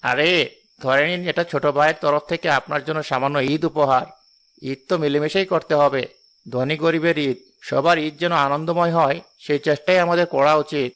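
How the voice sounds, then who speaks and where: A man's voice: a little high, fairly even intonation, fairly quick, fairly bright tone, clean tone, close to an everyday voice. A man playing a kindly, generous passenger and family man in a cartoon drama, courteous and earnest.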